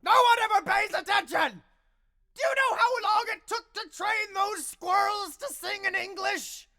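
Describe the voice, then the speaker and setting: A man's voice: very high-pitched, measured pace, bright, forceful tone, heavily acted. A man playing a manic, boastful mad-scientist villain in a comedic audio drama, bombastic and theatrical. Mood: exasperated, frantic.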